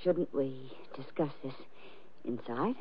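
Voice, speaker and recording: An actress playing a coy, teasing, coolly dangerous femme fatale in a 1950s radio drama. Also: female voice, a bass voice, slow, slightly rough, heavily acted. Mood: coy, inviting. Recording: muffled vintage radio broadcast.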